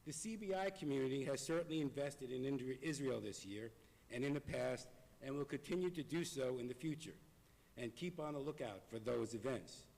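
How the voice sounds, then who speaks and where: A hoarse man delivering a prepared, earnest appeal to a congregation in a synagogue. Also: medium pitch, expressive, darkish tone. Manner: earnest, measured, reading from notes. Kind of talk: prepared speech. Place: synagogue sanctuary. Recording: long hall reverb.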